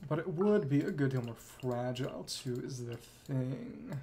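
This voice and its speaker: A man's voice: medium pitch, expressive, measured pace, vocal fry, dark tone, a little hiss or hum. A young man streaming a video game, rambling casually and thinking aloud to himself as he plays. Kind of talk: casual gameplay commentary. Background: game music and effects.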